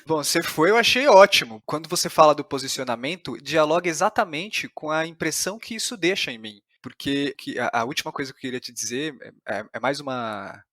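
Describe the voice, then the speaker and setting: A man's voice: a little high, expressive, brisk, hardly any pauses, a bit bright, dry recording, studio quality. A man with a Brazilian accent hosting an interview podcast, giving reflective, analytical commentary. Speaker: podcast host interviewing a playwright.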